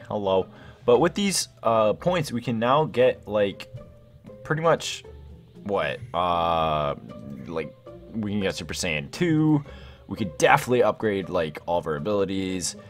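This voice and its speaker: A young man commentates casually over gameplay in a slightly low voice. His pitch swings widely as he rambles along in an excitable, chatty way. Music plays behind him. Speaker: gaming video host. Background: background music.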